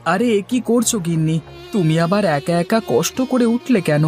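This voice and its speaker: An earnest, devoted village husband played by a man from an animated fairy tale. His voice is fairly high and theatrical, set over music. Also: fairly quick. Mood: caring. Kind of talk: Bengali fairy-tale cartoon voice acting. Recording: music under the voice.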